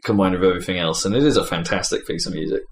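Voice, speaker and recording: A man's voice: low voice, rapid-fire. A man hosting a podcast, chatting casually about video games in a conversational, hedging way. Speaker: podcast host. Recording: roomy echo, clear background noise.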